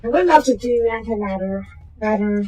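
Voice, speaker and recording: A woman with a slightly hoarse voice, casually talking through a strategy game and muttering to herself as she plays. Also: medium pitch, animated, normal speed, soft background music, poor audio. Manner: muttering to herself while playing, trailing off into quiet asides. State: hoarse from a sore throat. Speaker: gaming channel host. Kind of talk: casual gameplay commentary.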